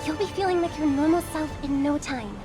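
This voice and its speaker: An actress playing a kind, gracious young woman in a video game, speaking gently and soothingly. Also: high voice, brisk, music in the background, close to an everyday voice. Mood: kind, reassuring.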